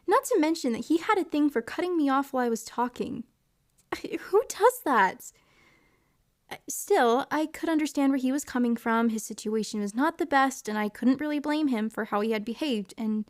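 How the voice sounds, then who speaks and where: Woman acting a shy, higher-voiced young hospital volunteer. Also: lively intonation, moderate pace, no echo, clean studio sound. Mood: reflective. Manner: hesitant, stammering, apologetic. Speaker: female lead and narrator of an audio drama. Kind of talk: audio drama acting with reflective first-person narration.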